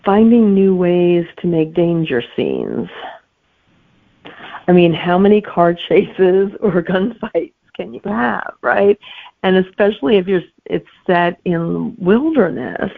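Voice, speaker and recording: Gravelly woman guest chatting, slightly muffled. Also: on the low side, big pitch swings, slow pace. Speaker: romantic suspense author, podcast guest. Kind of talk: casual interview chat.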